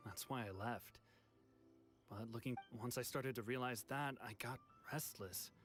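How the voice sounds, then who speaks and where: A man's voice: medium pitch, fairly even intonation, measured pace, a bit bright, soft background music, lightly acted. A man playing a weary, regretful, reserved fugitive in an anime-style video game, speaking in a hesitant, subdued way.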